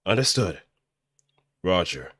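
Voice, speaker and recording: A man voicing a brisk, commanding mecha pilot giving clipped callouts in the style of anime game dialogue. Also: sits low, very animated, fairly bright tone, breathy edge, no room sound, studio quality, heavily acted.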